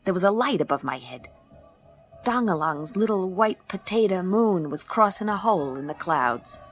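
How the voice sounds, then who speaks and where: A woman's voice: lowish voice, lively intonation, moderate pace, close to an everyday voice. Female noir gumshoe played by an actress for sci-fi radio play: tough, sardonic, streetwise, scored with music. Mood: wry narrating. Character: wisecracking tough female private eye in space. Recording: music under the voice.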